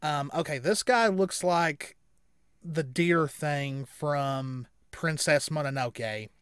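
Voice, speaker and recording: A man in his thirties giving casual, rambling reaction commentary as a co-host, halting and repeating words as he explains. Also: on the high side, fairly bright tone, clear, resonant tone, no room sound, studio quality. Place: small indoor room at home.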